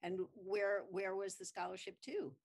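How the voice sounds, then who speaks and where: A woman's voice: mid-range voice, steady tone, moderate pace. A woman hosting an interview over a video call, asking friendly, rambling questions with many fillers. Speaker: interviewer host. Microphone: webcam on a video call.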